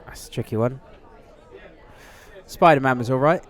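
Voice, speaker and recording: A man's voice: mid-range voice, normal speed, some chatter behind. A man chatting casually as a podcast guest. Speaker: podcast guest, former radio presenter. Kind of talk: casual chat.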